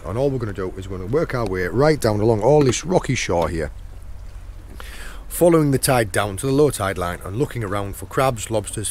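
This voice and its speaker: Croaky middle-aged man outdoors. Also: fairly low pitch, slight background noise. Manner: chatty, points things out as he goes. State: hoarse, croaky sore throat. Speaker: channel host and foraging guide. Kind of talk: casual outdoor explaining while foraging. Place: outdoors on a rocky shore in the rain.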